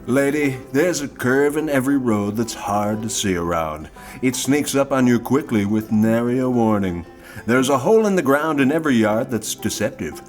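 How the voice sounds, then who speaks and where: A man playing a folksy, earnest, ominous insurance pitchman in an old-time radio style ad, deliberate and solemn with dramatic pauses. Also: lightly acted. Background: guitar music.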